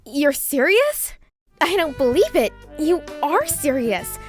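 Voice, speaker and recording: An actress playing a sardonic but warm-hearted young female agent, wry and expressive, in a comic dub. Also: high voice, slow pace, heavily acted. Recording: music behind the voice.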